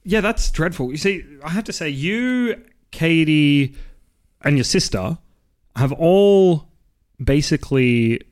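Deep-voiced man, casual podcast co-host, rambling, jokey delivery. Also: expressive, slow, darkish tone. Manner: rambling, self-correcting, joking. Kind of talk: casual chat.

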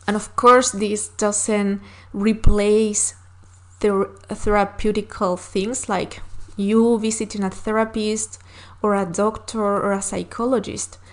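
A woman whose first language is Spanish, hosting a solo podcast and giving earnest, encouraging advice with frequent fillers and restarts. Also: mid-range voice. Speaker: podcast host.

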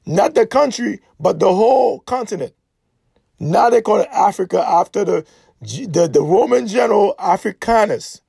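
Gravelly man lecturing hard. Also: sits high, expressive, normal speed, mellow, no echo, clean studio sound. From Haiti. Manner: emphatic, insistent, repeats points and asks "okay?". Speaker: speaker giving a history talk. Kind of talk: impassioned lecturing monologue.

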